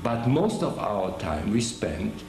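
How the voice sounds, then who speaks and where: An older man giving an oral history interview, speaking haltingly with many restarts and fillers. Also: fairly low pitch, big pitch swings, vocal fry, a little mellow, reverberant, slight background noise, poor audio. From Germany. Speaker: veteran interviewee.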